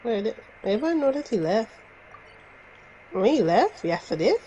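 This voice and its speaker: A woman's voice: fairly high pitch, big pitch swings, moderate pace, warm tone, a little hiss or hum, rough recording. A woman chatting casually with a friend during a gaming session. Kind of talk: casual chat.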